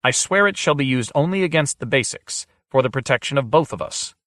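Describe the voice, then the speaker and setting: A man reading an audiobook, voicing a proud lord with a nasal, shrill voice who speaks in an insistent, pressing way. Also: a little fast, a bit bright, dry recording, studio quality, lightly acted. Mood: persuasive. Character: proud, urgent male lord, pleading then arguing.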